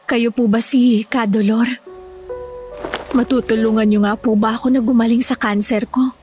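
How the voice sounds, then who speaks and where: A woman's voice: on the high side, little pitch movement, normal speed, darkish tone, soft background music, natural voice. A woman playing a sick but hopeful and devout woman in an audio horror drama, speaking in an earnest, trusting way. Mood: trusting, eager.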